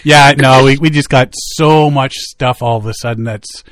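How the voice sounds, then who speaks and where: A man hosting a radio show, joking and teasing his co-host in casual banter, quick to laugh. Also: mid-range voice, a bit bright, no echo. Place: studio.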